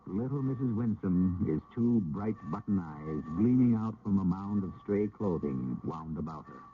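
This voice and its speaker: A man narrating a radio drama in a measured, literary storytelling manner. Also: low voice, lively intonation, soft background music. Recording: muffled, noisy old radio broadcast.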